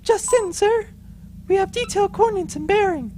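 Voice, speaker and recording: A woman plays an eager young officer aboard a starship in a comic audio play. Her chirpy voice is extremely high-pitched, over faint background ambience. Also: moderate pace, a little mellow, slight background noise. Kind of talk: comic sci-fi audio drama acting.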